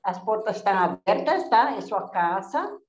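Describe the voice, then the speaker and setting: A woman's voice: medium pitch, big pitch swings, moderate pace, reverberant, lo-fi sound. A woman with a Spanish accent, a union director speaking earnestly and encouragingly in a casual radio chat. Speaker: domestic workers' union director.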